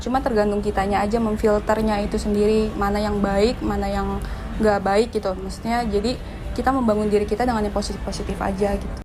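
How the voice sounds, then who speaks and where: A woman's voice: medium pitch, level tone, brisk, music in the background, low-quality recording. A woman in her twenties who works as a makeup artist, answering interview questions in a chatty, casual way. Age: 20s.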